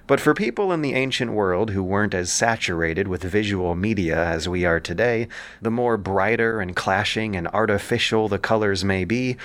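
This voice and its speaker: A man narrating scripted commentary as a podcast host, with measured, literary and dramatic phrasing. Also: lowish voice, a bit bright. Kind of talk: scripted commentary on ancient epic and history.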